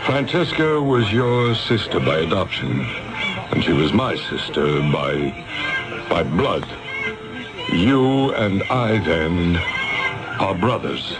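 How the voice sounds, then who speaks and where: An actor playing an arrogant, obsessed schemer with intense, theatrical delivery in a 1970s radio drama. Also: male voice, low-pitched, very animated, slow pace, heavily acted. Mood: grave. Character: obsessed, arrogant male schemer after a secret formula. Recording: vintage radio drama with loud music bed.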